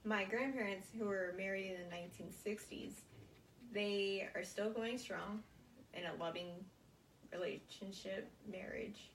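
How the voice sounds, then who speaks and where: Young American woman, gravelly fry, distant mic. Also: mid-range voice, steady tone, measured pace. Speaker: college student giving a speech. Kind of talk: prepared informative classroom speech. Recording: roomy, distant mic, faint noise.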